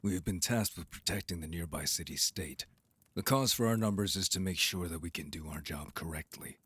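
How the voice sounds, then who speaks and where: A man plays a cold envoy from a vampire council in an audio roleplay. His voice is very deep and husky, recorded bone dry. He is formal, curt and openly disdainful. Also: fairly quick, a bit bright, no echo. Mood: curt, suspicious. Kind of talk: audio roleplay drama acting.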